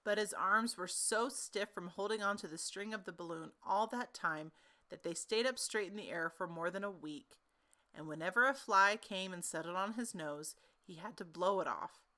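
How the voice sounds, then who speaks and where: A woman narrating a children's story in an expressive, animated storytelling manner. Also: medium pitch, normal speed.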